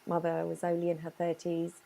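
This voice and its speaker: A woman's voice: lowish voice, monotone, vocal fry, warm tone, poor audio. A woman from Chile with a Chilean Spanish accent, telling her life story earnestly in an interview. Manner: earnest, emphatic storytelling with dramatic pauses. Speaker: guest business owner.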